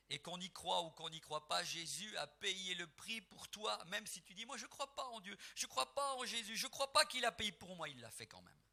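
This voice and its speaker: Emphatic preaching man, very bright voice. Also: a little high. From Portugal. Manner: emphatic, rising to near-singing on key phrases; soft and reflective when telling family memories. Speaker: gospel singer and preacher. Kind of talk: preaching between songs at a concert.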